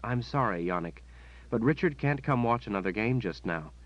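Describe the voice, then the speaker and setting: A man's voice: lowish voice, animated, moderate pace, highs cut off, lightly acted. Man voicing a firm, composed noble woman. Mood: gentle. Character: commanding young noble woman, calm, steely.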